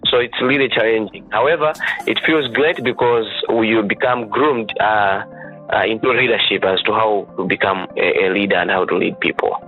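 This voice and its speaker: A man's voice: lowish voice, steady tone, normal speed. A young man in his twenties with a Malawian accent, answering interview questions as a student leader, often stalling and repeating words as he restarts his sentences. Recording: tinny phone-like line, faint music.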